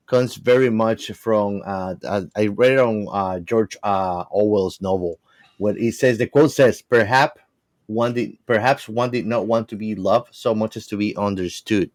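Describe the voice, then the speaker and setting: A man speaking earnestly and emphatically in a casual podcast discussion, musing philosophically with slightly halting, non-native phrasing. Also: lowish voice. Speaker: podcast panelist.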